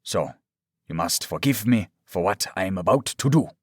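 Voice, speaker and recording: A menacing doctor from an audiobook thriller, acted out by a man. He coaxes with smug calm and false tenderness, his pitch moving freely. The sound is dry and close. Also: moderate pace, no room sound. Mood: mock tender. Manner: coaxing, mock-tender, threatening. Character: menacing, smugly calm male doctor villain.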